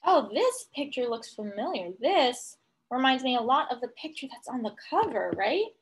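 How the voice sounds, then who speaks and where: A woman teacher reading a picture book to young children, explaining it as she goes and thinking aloud in an animated way. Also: sits high, moderate pace, breathy edge.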